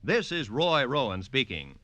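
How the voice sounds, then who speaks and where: An American man reading scripted credits and promotions as a 1940s radio announcer, crisp and formal, on a vintage broadcast recording. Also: mid-range voice, big pitch swings, unhurried, sharp tone, dry recording.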